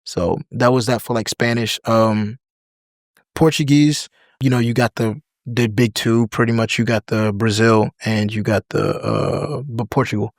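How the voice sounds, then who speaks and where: A man's voice: fairly low pitch, measured pace, no room sound. Young american guy chatting loose on a podcast, like and you know everywhere, dry mic. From United States. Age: young adult. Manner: relaxed, filler-heavy, drops into other languages. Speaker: podcast guest. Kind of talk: casual interview chat.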